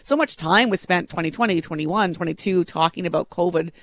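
Radio co-host guy, pretty high voice, chatty and curious. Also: a little fast, fairly bright tone. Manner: talkative, curious, laughs easily. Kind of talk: casual radio chat.

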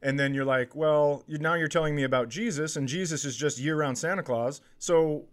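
A middle-aged American man in casual comedic conversation, telling stories in an animated way and acting out what others say. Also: fairly high pitch, normal speed. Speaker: comedy podcast host.

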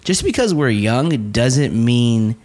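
A man's voice: mid-range voice, sing-song, takes it slowly, a little mellow. A young man with a slight Mexican accent, co-hosting a casual podcast and ranting bluntly, swearing freely. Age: young adult. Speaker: podcast co-host.

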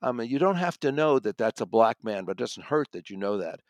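A man's voice: medium pitch, sing-song, fairly quick, no echo, studio quality. A man speaking as an audiobook reviewer in a conversational, thoughtful and emphatic way, often correcting himself as he goes. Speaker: audiobook reviewer and magazine contributor.

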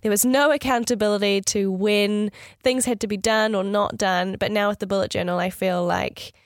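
A woman's voice: mid-range voice, steady tone, normal speed, no room sound, studio quality. Woman with a cold, chatty podcast co-host. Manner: chatty, upbeat, thinks aloud with fillers. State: nasal from a cold. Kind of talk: casual podcast chat.

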